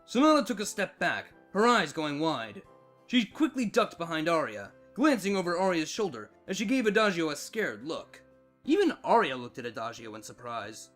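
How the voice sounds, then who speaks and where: A man narrating a scripted story with dramatic, expressive delivery. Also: on the high side, moderate pace. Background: background music.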